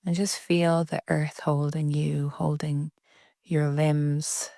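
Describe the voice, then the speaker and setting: A woman leading a guided relaxation meditation in a soft, breathy, soothing way with long pauses. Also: sits low, flat delivery, dry recording, clean studio sound. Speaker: yoga nidra meditation guide.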